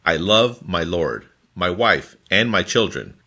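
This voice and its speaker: A man reading scripture from a formal translation in a deliberate, phrase-by-phrase manner. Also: deep voice, expressive, sharp tone. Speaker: scripture reader.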